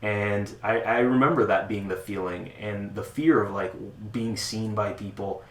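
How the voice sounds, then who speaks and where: A man talking casually as an interview guest about the club he runs, relaxed and joking, with lots of restarts and fillers. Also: lowish voice, measured pace. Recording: echoey room with faint noise.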